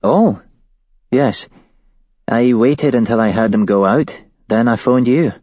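A man's voice: mid-range voice, slow, darkish tone, no room sound, highs cut off, pitched above the performer's own voice. A man voicing a shaken young woman who was held hostage, recounting it shakily and at a tumble. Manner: shaky, tumbling recollection.

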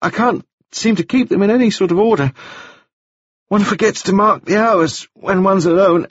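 A high, bright, feverish young gentleman. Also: normal speed, slightly rough, no echo, muffled recording. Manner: prim, overly courteous, dazed. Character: young wounded English gentleman, feverish, polite.

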